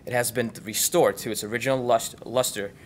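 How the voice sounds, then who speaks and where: A man's voice: mid-range voice, steady tone, brisk, a little hiss or hum. A man reading scripted mock-documentary narration as narrator.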